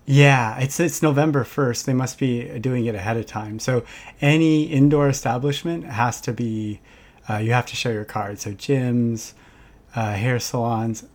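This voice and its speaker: A man's voice: mid-range voice, fairly even intonation, measured pace. A man in his forties from Southern California, chatting eagerly and laughing easily as a podcast guest. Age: 40s. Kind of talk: casual chat.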